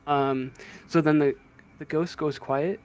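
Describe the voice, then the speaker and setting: A man narrating a tabletop role-playing game as game master, describing scenes in halting pieces. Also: a little high, fairly even intonation, darkish tone. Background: music in the background.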